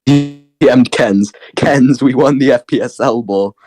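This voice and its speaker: A hoarse young man doing excited post-game commentary, laughing often and breaking into shouts. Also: medium pitch, measured pace, over music. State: hoarse, lost voice.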